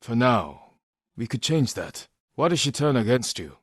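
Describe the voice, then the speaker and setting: An actor playing an honourable, stoic samurai warrior in a video game, speaking in a measured, solemn way with short, clipped sentences. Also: male voice, mid-range voice, big pitch swings, slightly rough, no echo, clean studio sound. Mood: calm, resolute. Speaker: video game lead character. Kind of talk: dramatic video game voice acting.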